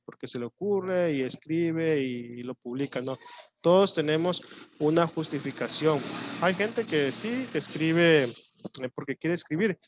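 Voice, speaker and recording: A male teacher from Mexico with a coastal Mexican Spanish accent, explaining a lesson slowly and thinking aloud with fillers and pauses. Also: a little high. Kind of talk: online class lecture.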